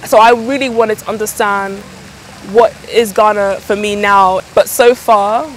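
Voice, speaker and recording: A woman's voice: medium pitch, steady tone, a little slow, slight background noise, rough recording. A middle-aged woman, speaking casually as an interviewee in a conversation. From London, UK. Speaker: interviewee, fashion designer.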